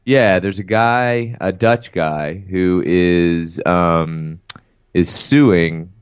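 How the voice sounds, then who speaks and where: A young man co-hosting a podcast, talking in an easygoing, drawn-out way and repeating himself. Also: low-pitched, fairly even intonation, clean tone, dry recording, dull audio. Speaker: podcast co-host. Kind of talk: casual podcast chat.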